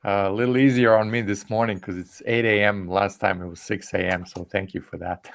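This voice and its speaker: A man's voice: fairly low pitch, little pitch movement, normal speed, creaky. A man from Serbia giving an easygoing self-introduction on a webinar, with light humor. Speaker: webinar guest.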